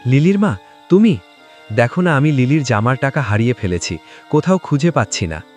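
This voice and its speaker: A man's voice: medium pitch, animated, normal speed, a little mellow, soft background music, natural voice. Humble poor village father played by a man. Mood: distressed. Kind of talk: Bengali moral-story cartoon dialogue.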